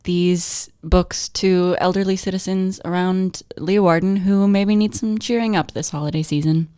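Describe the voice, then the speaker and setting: Young woman, student radio co-host, low-pitched, chatty, laughing often, dry close sound. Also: steady tone, moderate pace, mellow, smooth, no room sound. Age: young adult. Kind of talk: casual chat reading news items.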